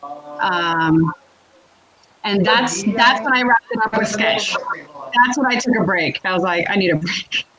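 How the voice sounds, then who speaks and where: A woman from Puerto Rico and Connecticut, a comedian guest chatting casually and talkatively in an interview, with an animated manner. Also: medium pitch, measured pace, pauses often, crowd noise, low-quality recording. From born in Puerto Rico, raised in Connecticut, United States.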